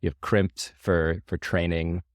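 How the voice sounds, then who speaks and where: Low-pitched man in casual podcast chat. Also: flat delivery, a little slow, no echo, studio quality.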